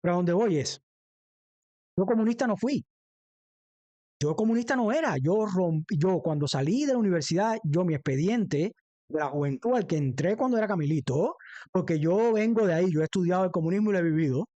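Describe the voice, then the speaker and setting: A man's voice: sits high, lively intonation, a little fast, dark tone, no room sound. A man with a Cuban accent speaking as a livestream host in an impassioned political monologue, emphatic and rhetorical, repeating phrases for weight. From Cuba. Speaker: livestream host, former defense lawyer.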